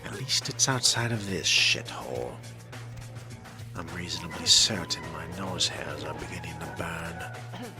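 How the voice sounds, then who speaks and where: Very deep, sardonic slow-talking man over music. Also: animated. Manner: sardonic, dry muttering to himself. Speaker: player role-playing a vampire fledgling in a video game. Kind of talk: in-character role-play game commentary. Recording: loud music behind the voice.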